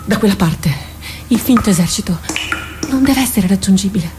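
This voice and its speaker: A woman's voice: medium pitch, animated, moderate pace, close to an everyday voice. A woman acting an intense war captain, noisy recording. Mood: feverish, resolute. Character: driven woman war captain, obsessively plotting battle. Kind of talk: theatrical radio drama acting.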